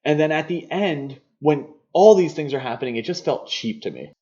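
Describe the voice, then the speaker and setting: A man's voice: on the high side, expressive, normal speed, darkish tone. A man co-hosting a podcast, talking casually and giving his opinions on films in a conversational way. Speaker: podcast co-host. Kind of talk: casual film discussion. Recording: slightly dull lo-fi podcast audio.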